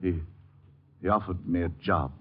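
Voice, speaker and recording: An actor playing a frail, distraught old stage actor in a radio drama, halting and muttering as he repeats himself. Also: deep voice, fairly even intonation, natural voice. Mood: dazed, evasive. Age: older. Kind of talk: 1950s radio drama acting. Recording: muffled old radio recording, crowd noise.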